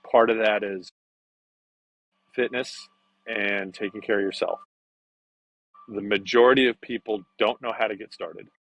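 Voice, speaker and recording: A man in his fifties talking as an interview guest, in a halting conversational way with repeated words. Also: on the low side, a bit bright, no echo. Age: 50s. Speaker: podcast guest. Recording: dull lo-fi call audio.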